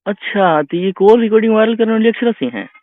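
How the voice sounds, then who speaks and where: A man's voice: high voice, animated, fast talker, no echo. A man in a casual phone conversation, mostly murmuring short agreeable acknowledgements as he listens. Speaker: interviewer on a phone call. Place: telephone call. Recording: tinny phone line.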